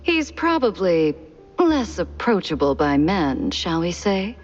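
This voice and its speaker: A languid noir society lady, savoring each phrase with affected elegance. Her voice is deep and very slow, slightly muffled, with music behind. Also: very animated. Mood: sly, advising. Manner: drawling, affected, refined. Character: languid, world-weary socialite woman, sly and elegant. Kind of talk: noir cartoon game acting.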